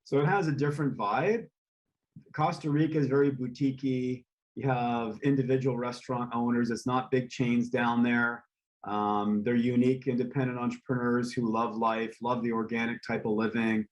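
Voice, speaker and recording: A man's voice: medium pitch, moderate pace, low-quality recording. Man, developer guest, casual chat, loose rambling delivery, lots of fillers. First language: English. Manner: relaxed, rambling, many ums and uhs. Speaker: real estate developer guest.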